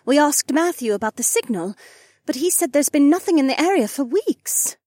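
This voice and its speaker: A woman voicing cheeky, teasing young women in audiobook dialogue, with a breathy voice. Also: sits high, lively intonation, normal speed, darkish tone, no echo, clean studio sound. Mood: discouraged.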